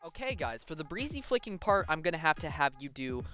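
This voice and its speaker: A man's voice: on the high side, very animated, brisk, a bit bright, soft background music. A young man giving a casual video game tutorial, talking informally and directly to viewers and often checking in with them. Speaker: gaming tutorial host.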